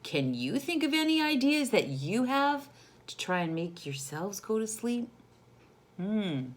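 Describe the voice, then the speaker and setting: Female children's storyteller, teacherly, highly varied intonation, unhurried delivery, speaks straight to the kids. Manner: expressive, teacherly, often addressing the young listeners directly. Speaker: storyteller reading a picture book to children. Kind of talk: children's read-aloud with questions to the listeners.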